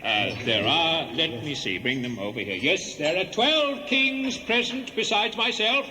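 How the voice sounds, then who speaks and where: A man's voice: fairly high pitch, animated, unhurried, bright, forceful tone, a little hiss or hum, exaggerated voice. An actor playing a genial, fussy host king who repeats himself, in a 1970s radio play. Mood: gracious. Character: genial, fussy, eager host king rallying his guests. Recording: music behind dated radio drama.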